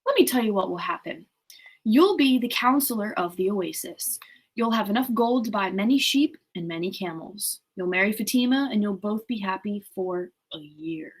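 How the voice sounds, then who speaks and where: A wise desert alchemist from a storybook, read aloud by a woman. The mentor speaks in measured, prophetic sentences at an even, knowing remove. The pitch is that of an ordinary female reading voice. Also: slightly rough, rough recording. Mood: calm, foretelling.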